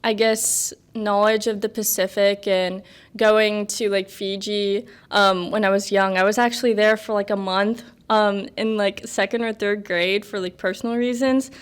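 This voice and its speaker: A young American woman in her twenties, guest on a podcast. She chats casually, rambling with lots of fillers and little laughs, in a smooth, clear voice of middling pitch. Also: steady tone, unhurried. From United States. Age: 20s. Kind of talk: casual interview chat.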